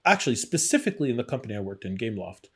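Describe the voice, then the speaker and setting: A middle-aged man is giving a personal interview in a conversational way. His voice sits at an ordinary male pitch, and he speaks candidly, retelling experiences and voicing the people in them. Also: sing-song, racing, husky. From born in Iraq. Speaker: interview guest, game designer.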